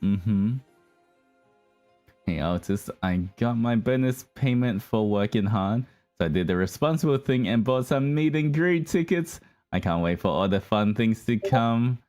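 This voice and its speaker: A man's voice: mid-range voice, expressive, unhurried, darkish tone. A man hosting a livestream, chatting casually and in a rambling way to his viewers, whom he addresses as guys. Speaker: livestream host, virtual streamer. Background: background music.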